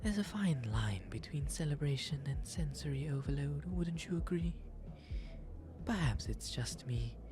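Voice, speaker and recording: A man playing a genteel, shy, flirtatious owl demon nobleman in an ASMR roleplay, with soft, breathy, formal and wryly self-deprecating speech. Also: a little high, lively intonation, a little slow, crowd noise. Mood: confiding, wistful. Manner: refined formal diction, polite, wry, self-deprecating. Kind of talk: ASMR roleplay, intimate audio drama. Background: music throughout.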